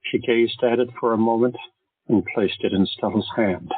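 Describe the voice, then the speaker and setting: A man narrating an audio drama in a measured, solemn storytelling manner. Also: lowish voice, husky, no echo. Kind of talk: scripted narration. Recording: dry thin phone-like sound, faint music.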